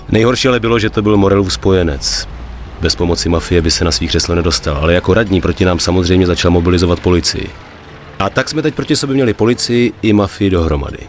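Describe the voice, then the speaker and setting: A man's voice: sits low, slight background noise, natural voice. An actor playing a weary, cynical young gangster in a game cutscene, talking matter-of-factly about his life of crime. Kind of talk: dramatic game cutscene dialogue and narration. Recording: music under the voice.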